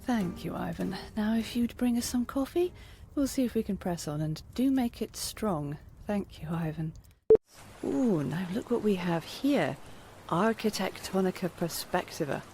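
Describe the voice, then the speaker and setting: Measured scholarly actress as a woman naturalist, unhurried. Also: medium pitch, lively intonation, warm tone, faint music behind. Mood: absorbed, studious. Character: precise, absorbed woman naturalist examining seashells. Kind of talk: audio drama acting.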